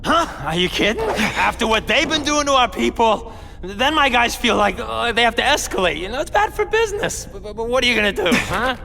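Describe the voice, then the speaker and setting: A man's voice: extremely high pitch, very animated, a little fast, creaky, bright, forceful tone, full character voice. A man playing a jittery, blustering street criminal in a video game cutscene, stammering and tagging his lines with nervous questions. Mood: nervous, evasive. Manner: stammering, punctuates talk with questioning tags.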